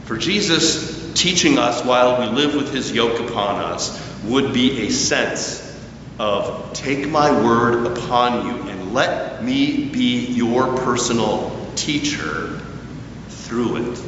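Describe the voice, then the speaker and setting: A man delivering a sermon as a preacher, with deliberate phrasing and emphatic pauses. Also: medium pitch, expressive. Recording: very echoey hall, distant, slightly dull, faint noise.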